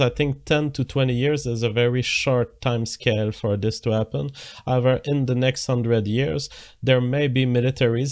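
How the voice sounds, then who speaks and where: A man with an average-pitched voice hosts a livestream. He reads viewer messages aloud and then answers each one in a steady, measured way. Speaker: livestream host reading viewer comments. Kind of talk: casual livestream talk.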